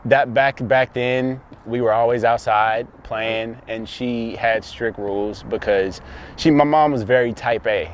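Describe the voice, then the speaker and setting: A man's voice: mid-range voice, steady tone, unhurried, slight background noise. A man of thirty from Texas, a guest talking reflectively in a casual conversation, often restarting his sentences. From Dallas, Texas. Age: 30s.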